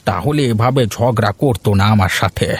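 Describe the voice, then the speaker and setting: A man voicing a gruff, suspicious village elder in a cartoon, scolding and grumbling. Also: lowish voice, fairly quick. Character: gruff, suspicious village headman and put-upon old fisherman. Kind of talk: cartoon story dialogue.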